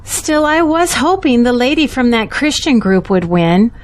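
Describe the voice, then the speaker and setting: An actress playing a gentle, hopeful churchgoing woman in an audio drama, with a wistful way of talking. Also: a little high, very animated, a little slow. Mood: disappointed, wistful.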